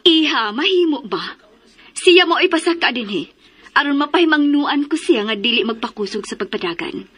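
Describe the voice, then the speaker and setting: A woman's voice: high voice, expressive, normal speed, a bit bright. A woman acting a protective, middle-aged mother in a radio drama, firm and admonishing. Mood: stern.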